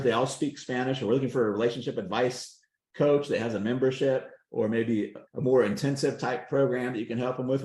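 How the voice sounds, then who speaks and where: A man's voice: medium pitch, darkish tone, roomy sound. A man coaching on a group video call, folksy and emphatic, often checking that his point lands. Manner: emphatic, folksy, repeats "does that make sense". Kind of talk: casual coaching talk.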